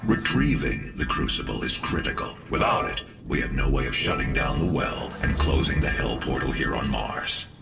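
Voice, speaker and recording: An actor playing a grave, measured director of a sci-fi research facility in a video game, with a gravelly voice. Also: male voice, a bass voice, sing-song, distant mic, over loud music, exaggerated voice. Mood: urgent, instructing. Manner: measured, solemn. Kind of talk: video game cutscene acting.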